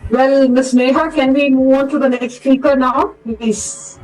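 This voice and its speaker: A woman's voice: high voice, fairly even intonation, measured pace, a little mellow. A woman speaks off the cuff as a conference moderator, in hesitant, wordy and courteous phrasing. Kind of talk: unscripted formal online conference remarks. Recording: distant microphone.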